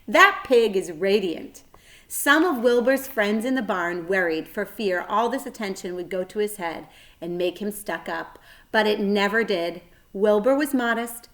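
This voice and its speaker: A woman reading a children's story aloud like a teacher, with a careful, expressive delivery. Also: mid-range voice.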